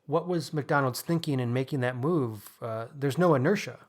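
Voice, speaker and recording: A man's voice: mid-range voice, moderate pace. A man co-hosting a podcast, talking through books in a casual, conversational style. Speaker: podcast co-host discussing books. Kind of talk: casual book discussion.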